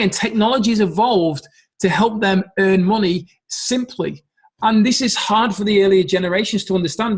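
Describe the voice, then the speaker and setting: A middle-aged man giving an earnest motivational business talk, stressing points by repeating them. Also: sits high. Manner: earnest, emphatic, repeats key phrases. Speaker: business coach.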